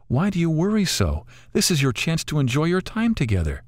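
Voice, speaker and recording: A man voicing a wise old female talking bird who counsels gently and calmly. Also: a little high, a little fast, no room sound, studio quality, pitched above the performer's own voice. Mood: gentle.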